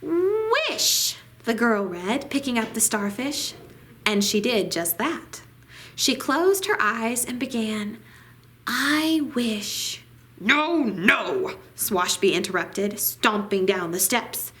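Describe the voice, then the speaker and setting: A woman reading a children's picture book aloud at storytime, with deliberate, dramatic phrasing. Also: medium pitch, animated, fairly bright tone, slight background noise. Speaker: library storytime reader.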